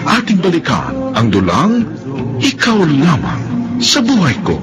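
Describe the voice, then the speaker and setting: Casting: male radio drama announcer, hugely animated intonation, slow delivery, loud music bed. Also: on the high side. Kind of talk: dramatic scripted introduction.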